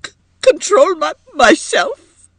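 Sobbing, high-pitched indignant young mother. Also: big pitch swings, slow pace, dry recording, full character voice. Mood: broken outrage. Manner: stammers through sobs, broken and wailing.

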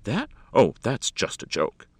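A man voicing a sheepish, evasive fellow in a storybook who blusters through hurried, exclamatory excuses and protests. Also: mid-range voice, huge pitch swings, breathy edge, no echo, voice pushed up, full character voice.

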